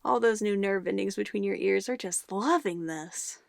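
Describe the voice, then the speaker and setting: Soft, creaky, possessive witch, acted by a woman. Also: medium pitch, normal speed, dry recording, studio quality, close to an everyday voice. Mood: gushing, adoring. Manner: cooing, coaxing, condescending sweet talk. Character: possessive young-sounding witch, sweetly menacing, doting on her familiar. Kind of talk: ASMR roleplay acting.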